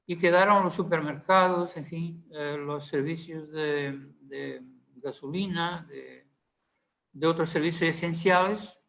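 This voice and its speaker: A man's voice: a little high, steady tone, unhurried, creaky, fairly bright tone. A man speaking Spanish with a Portuguese accent, a panelist giving a conference talk, hesitant with many fillers and restarts.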